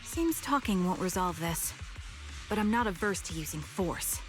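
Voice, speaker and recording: A woman's voice: lowish voice, expressive, moderate pace, lightly acted. A woman playing a cool, determined female sniper in a video game, speaking in a composed, terse, serious manner. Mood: steely resolve. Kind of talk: video game character acting. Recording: voice over loud game music.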